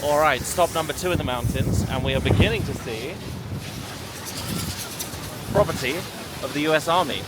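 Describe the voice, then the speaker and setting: A man's voice: a little high, moderate pace, pauses often. A young man narrating a casual travel vlog with wry, dry, self-deprecating asides, filming on a handheld camera. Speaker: travel vlogger. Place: outdoors on roadsides and streets, then an indoor hotel room. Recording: loud traffic and street noise.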